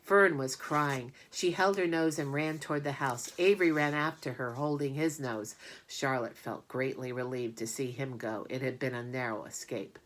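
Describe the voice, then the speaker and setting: A woman with a low voice reads a children's storybook aloud. Her delivery is scripted narration with plenty of expression. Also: normal speed.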